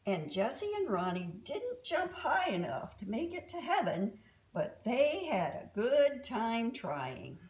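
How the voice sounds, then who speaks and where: A woman reading a children's story aloud as an expressive storyteller. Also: fairly high pitch, slow.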